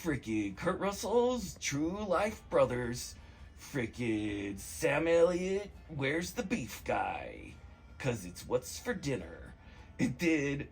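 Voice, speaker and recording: Excitable high-pitched man rambling with animated swings. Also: creaky, breathy edge. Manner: slangy, profane, excitable, meandering storytelling. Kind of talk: rambling casual comedic movie recap voicemail.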